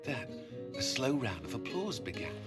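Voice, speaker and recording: A man acting as a fantasy-game military commander, dutiful and dryly humorous. He has a mid-pitched voice with animated rises and falls and a formal turn of phrase, over music. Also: normal speed, close to an everyday voice. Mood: amused storytelling. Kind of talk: video game cutscene acting.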